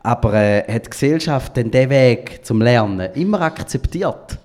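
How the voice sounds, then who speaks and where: A man's voice: mid-range voice, expressive, normal speed, darkish tone. A man with a Swiss German accent hosting a talk show, musing in loose conversational phrasing as he questions his guest. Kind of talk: casual interview talk.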